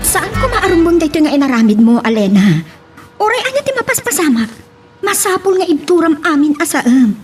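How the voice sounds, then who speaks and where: A woman's voice: very high-pitched, sing-song, a little fast, soft background music. A woman with an Ilocano accent acting an earnest, reproachful sister in a love drama. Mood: reproachful, urgent.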